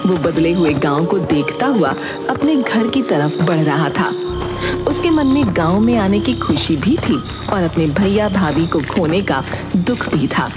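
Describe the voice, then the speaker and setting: Lively low-voiced woman storyteller. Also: a little slow, loud music behind the voice. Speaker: narrator. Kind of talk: scripted horror story narration.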